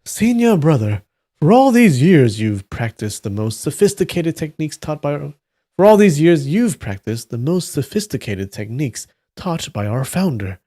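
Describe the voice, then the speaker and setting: A man's voice: a little high, very animated, moderate pace, dark tone, pitched above the performer's own voice. A man playing a commanding Taoist martial-arts master who speaks formally, in a storybook. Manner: declamatory, formal.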